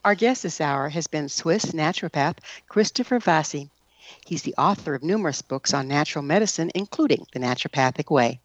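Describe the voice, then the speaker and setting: A woman's voice: low-pitched, animated, a little fast, no room sound, muffled recording. A woman hosting a radio interview show, putting questions to a guest and reading announcements. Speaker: show host.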